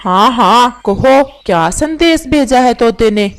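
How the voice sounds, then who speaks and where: A woman's voice: on the high side, animated, a little slow. A woman voicing an indignant, hot-tempered male bird in a children's cartoon story. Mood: demanding. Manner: indignant, demanding, easily provoked. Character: proud, touchy, hot-tempered male parrot and pigeon. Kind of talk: animated children's moral story dialogue.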